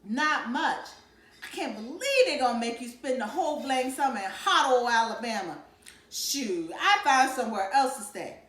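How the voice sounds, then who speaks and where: A woman's voice: high-pitched, expressive, moderate pace, a bit bright, roomy sound, pitched above the performer's own voice. Woman doing a brash, jive-talking teenage sidekick. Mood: complaining, teasing. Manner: jive slang, exclaiming. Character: brash complaining teenage boy, egging-on sidekick friend.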